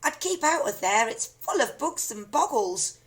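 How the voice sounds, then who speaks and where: A woman voicing an anxious, excitable young boy who pleads and exclaims. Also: sits high, moderate pace, a little hiss or hum, voice pushed up.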